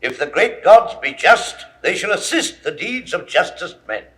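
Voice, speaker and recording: An actor playing a proud, confident, scornful Roman rebel leader in a 1960s Shakespeare audio drama, with emphatic oratorical delivery. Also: male voice, sits high, sing-song, normal speed, a bit bright. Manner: oratorical, emphatic declaimed verse.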